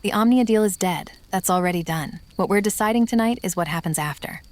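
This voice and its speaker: An actress playing a steely negotiator in an audio drama, laying down terms in a clipped, firm, formal manner. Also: female voice, mid-range voice, brisk, lightly acted. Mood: unyielding.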